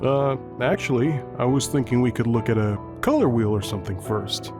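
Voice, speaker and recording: Man as easygoing skeleton intern for a comic dub: mid-pitched, lively swings, casual, dryly joking. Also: moderate pace, lightly acted. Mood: wry, tentative. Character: laid-back, wry young male skeleton lab assistant. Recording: faint music behind the voice.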